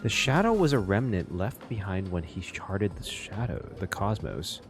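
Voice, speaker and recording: A man giving excitable live reaction commentary as a streamer, exclaiming and thinking out loud. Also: sits low, moderate pace. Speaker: streamer reacting to a game story. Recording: game music behind. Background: game soundtrack and dialogue.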